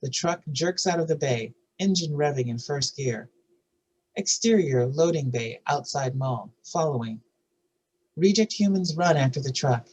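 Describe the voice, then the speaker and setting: Husky narrator voice reading out script directions at a table read, medium pitch. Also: lively intonation, a little slow, darkish tone, poor audio.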